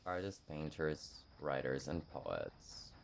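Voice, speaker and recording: A man's voice: very deep voice, very animated, slow pace, gravelly, slight background noise. A man giving casual video game commentary, thinking aloud in a rambling, self-mocking way over game sounds.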